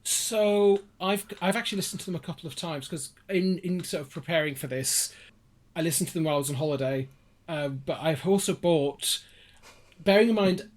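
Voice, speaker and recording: A man with a somewhat high-pitched voice talks casually as a guest on a podcast. He rambles, breaking off his own sentences to add asides and quote himself. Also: normal speed. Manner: rambling, self-interrupting, quoting himself. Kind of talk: casual chat.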